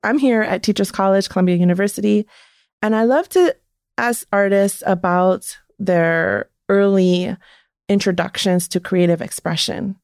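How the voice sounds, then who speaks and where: A woman's voice: medium pitch, steady tone, normal speed, a little mellow, dry recording, studio quality. A woman hosting an interview, speaking in an effusive, encouraging way. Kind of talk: podcast interview.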